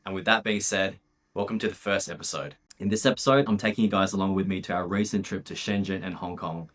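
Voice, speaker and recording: A man's voice: low voice, fast talker, fairly bright tone. A young man from Australia narrating his own business vlog in a candid, upbeat, casual way, with the odd swear word. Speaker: vlogger and business owner.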